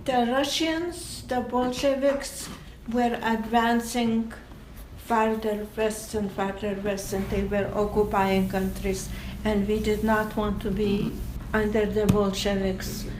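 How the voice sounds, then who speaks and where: An older woman from Lithuania, a native Lithuanian speaker, explaining patiently in a slow, deliberate way during an oral history interview. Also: mid-range voice, level tone, a little mellow, a little hiss or hum, poor audio.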